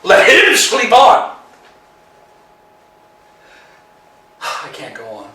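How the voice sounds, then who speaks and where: A man's voice: sits high, wildly swooping pitch, a little slow, background noise, full character voice. A man playing a brooding, restless tramp. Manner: brooding, swings from hushed to emphatic. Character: anxious philosophizing male tramp, restless. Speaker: actor in a staged reading. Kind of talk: amateur staged play reading. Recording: echoey room, distant mic.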